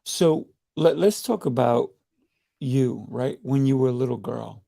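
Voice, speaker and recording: A man's voice: medium pitch, unhurried, creaky, warm tone. A man hosting a podcast interview over a video call, eager and chatty, often checking in with "right?". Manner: enthusiastic, repeats himself, frequent "right?". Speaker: podcast host. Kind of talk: casual interview.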